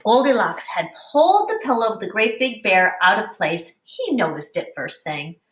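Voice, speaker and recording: A woman teacher reading a picture book aloud to young children with deliberate, explanatory expression. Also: mid-range voice, sing-song. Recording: echoey room, distant mic.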